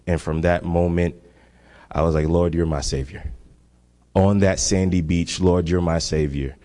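A young man from Miami with a deep voice, sharing his personal faith testimony in an earnest, conversational way. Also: a little mellow. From Miami, Florida. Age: young adult. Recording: long hall reverb.

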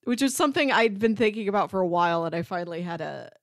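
A woman's voice: medium pitch, animated, fast, dry recording, clean studio sound. A woman co-hosting a podcast, talking in a casual, conversational way. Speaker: podcast co-host. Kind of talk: casual chat.

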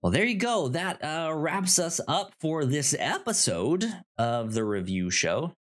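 A man co-hosting a review podcast in casual chat, with a halting, thinking-aloud delivery. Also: a little high, big pitch swings. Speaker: podcast co-host.

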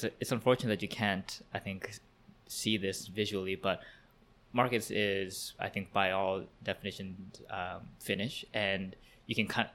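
A man's voice: lowish voice, fairly bright tone. A man hosting an interview, chatting in a friendly way and asking follow-up questions. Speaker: podcast host. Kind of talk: conversational interview.

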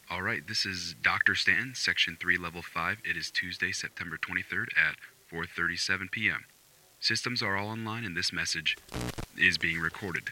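A man acts out a brisk doctor dictating an official log in an audio drama. The voice is very deep yet extremely sharp and forceful, with a clipped, procedural manner. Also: exaggerated voice, low-quality recording. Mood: businesslike. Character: brisk, businesslike male doctor logging a recording.

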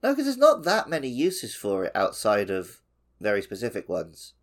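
A man's voice: fairly low pitch, wildly swooping pitch, moderate pace. A man co-hosting a gaming podcast, chatting in an informal, conversational way. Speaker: podcast co-host. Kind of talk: casual video game discussion.

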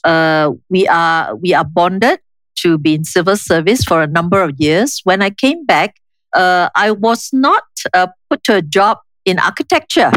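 Older Singaporean woman, low-pitched and measured. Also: animated, fairly bright tone, no echo. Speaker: interview guest, urban planning official. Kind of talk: conversational interview, reminiscing.

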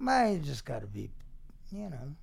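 A man's voice: medium pitch, huge pitch swings, unhurried, vocal fry, a little mellow, breathy edge. An older man chatting as an interview guest in a hesitant, wry, plainspoken way. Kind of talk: casual chat.

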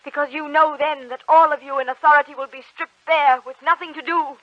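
An actress playing a devout young peasant heroine, anguished yet defiant, in a fervent old-time radio drama style. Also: female voice, sits high, fairly even intonation, a little fast, no room sound, close to an everyday voice. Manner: fervent, theatrical. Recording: dull old radio recording.

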